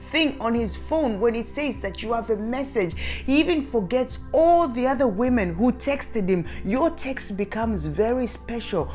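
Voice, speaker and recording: Female advice coach, middle-aged, very unhurried and instructive, emphatic on key points, music playing behind. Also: fairly high pitch, darkish tone. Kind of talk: direct-to-audience advice talk.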